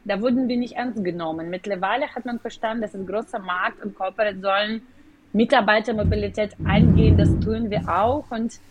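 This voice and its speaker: A woman's voice: mid-range voice, fairly even intonation, brisk, muffled recording, a little hiss or hum. Woman with a Ukrainian accent. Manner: explains at length in slightly non-native German with hesitations. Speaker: guest, company executive. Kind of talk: podcast interview.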